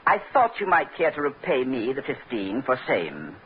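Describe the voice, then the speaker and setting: A man's voice: medium pitch, wildly swooping pitch, normal speed. An actor playing a fussy, genteel and slippery crooked businessman, with prim, over-polite phrasing in old radio drama style. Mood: smooth, calculating. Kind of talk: 1940s-50s radio acting. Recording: dull, faintly noisy old radio recording.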